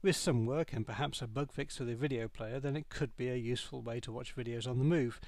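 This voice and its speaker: A man reading a scripted product review as narration, in a measured, matter-of-fact way. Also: mid-range voice, steady tone, darkish tone, dry recording.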